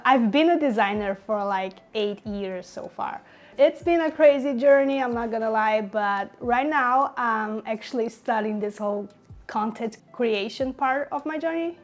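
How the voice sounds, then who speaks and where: Young Brazilian woman, Portuguese native, casual upbeat vlogger voice, slightly high, chatty with fillers. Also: a little slow. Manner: chatty, upbeat, many fillers and little laughs. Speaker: designer vlogger. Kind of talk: casual talk to camera while working.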